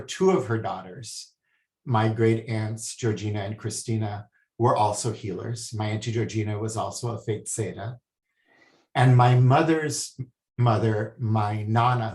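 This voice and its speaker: A man from Hawaii, a guest speaking about healing in a soft, hesitant way with many pauses. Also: lowish voice. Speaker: guest, spiritual healer. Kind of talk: casual interview talk. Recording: echoey room.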